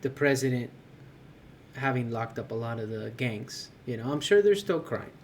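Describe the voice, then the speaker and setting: Casual male podcast co-host, mid pitch, faint hiss behind him, easygoing and halting. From California. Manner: relaxed, searching for words, frequent fillers.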